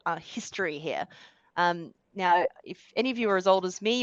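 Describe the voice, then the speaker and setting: A woman's voice: mid-range voice, dry recording. A woman in her forties with an Australian accent, giving a chatty, self-deprecating webinar talk with many fillers. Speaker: guest speaker. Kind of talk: webinar presentation. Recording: dull, dry lo-fi sound.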